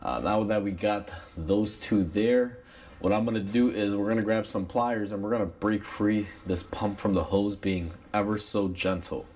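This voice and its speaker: A man with a cold and a raspy voice, talking casually through a repair step by step with plenty of fillers. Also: lowish voice, normal speed. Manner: relaxed, rambling with many uh fillers. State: has a cold. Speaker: mechanic demonstrating a repair. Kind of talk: casual how-to tutorial narration.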